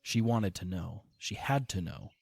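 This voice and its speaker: A man reading scripted story narration as the narrator of an audio drama, in a measured storytelling manner over music. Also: deep voice, expressive, vocal fry, darkish tone, slight background noise.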